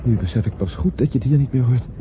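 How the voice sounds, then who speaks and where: An actor playing an earnest, uneasy gentleman in a radio play, with formal manners. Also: medium pitch, fast talker, natural voice. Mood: troubled, confessional. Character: earnest, guilt-ridden gentleman in love, uneasy traveller. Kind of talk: Dutch radio play acting. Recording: dull, noisy old radio play recording.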